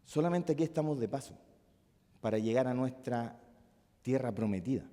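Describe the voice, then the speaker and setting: Chilean pastor preaching in a reverberant hall. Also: male voice, medium pitch, normal speed. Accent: Chilean Spanish. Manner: impassioned, swings from loud emphatic peaks to soft musing asides. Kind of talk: sermon. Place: church hall. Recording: long reverb of a church hall.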